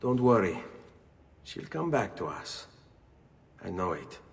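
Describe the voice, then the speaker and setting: A man's voice: deep voice, big pitch swings, takes it slowly, creaky, breathy edge, full character voice. An actor playing an earnest, headstrong young nobleman with an Italian accent in a video game drama. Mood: calm, determined. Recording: music behind the voice.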